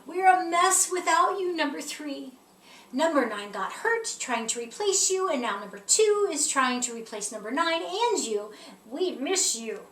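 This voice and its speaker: A woman voicing needy storybook numbers, friends who speak with earnest, drawn-out pleading. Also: sits high, expressive, fairly bright tone. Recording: roomy echo, distant mic, faint noise.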